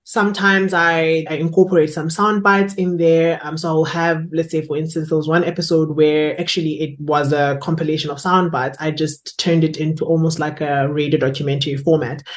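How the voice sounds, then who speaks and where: A woman's voice: low-pitched, little pitch movement, brisk, hardly any pauses. A woman with a South African accent talking casually in an interview as a podcast producer and host.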